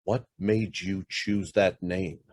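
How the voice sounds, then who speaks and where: A man's voice: low-pitched, fairly even intonation, slow pace. An actor playing a curt, demanding middle-aged businessman who is suspicious and hiding something, in a radio drama. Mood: uneasy, probing. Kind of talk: stage-style radio drama acting.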